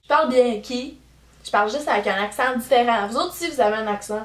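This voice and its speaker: A woman's voice: fairly high pitch, quick pace. An 18-year-old woman with a strong Québécois French accent, chatting candidly to her viewers in a casual vlog, full of fillers. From Quebec. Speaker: YouTuber. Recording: roomy echo, camera at a distance.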